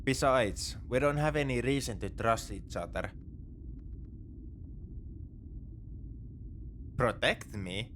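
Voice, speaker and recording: A man playing a begrudgingly soft-hearted space pirate captor in a sci-fi audio roleplay, speaking to the listener in an exasperated, dismissive, teasing way. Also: medium pitch, animated, normal speed, slight background noise, lightly acted. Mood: exasperated, relenting. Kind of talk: sci-fi ASMR roleplay acting, addressing the listener.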